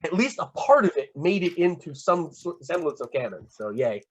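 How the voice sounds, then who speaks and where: A man in his fifties, a guest author, explaining lore in a measured, hesitant way with many fillers. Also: a little high, big pitch swings, husky. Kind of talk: casual chat, explaining lore.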